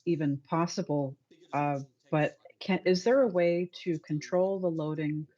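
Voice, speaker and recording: A man with a fairly high voice asks a question hesitantly, groping for the right words with many uhs. The sound is dull and lo-fi. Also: unhurried, mellow. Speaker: creator asking questions. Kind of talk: casual chat.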